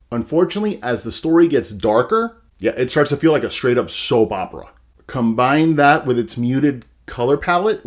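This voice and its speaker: A man reviewing a movie to camera, chatty and animated, with a sarcastic streak. His pitch sits right in the middle. He talks like he's ranting to a friend. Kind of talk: casual ranting film review.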